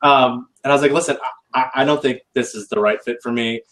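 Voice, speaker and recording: American guy, mid pitch, casual panel talk, halting with restarts. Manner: halting, many restarts, animated when storytelling. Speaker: recruiting sourcer and veteran.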